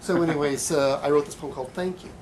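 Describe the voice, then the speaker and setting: A man giving a live poetry reading in a bookstore, with halting, deliberate delivery, in an amateur recording. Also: fairly high pitch, darkish tone, a little hiss or hum. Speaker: poet. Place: bookstore reading event, indoors.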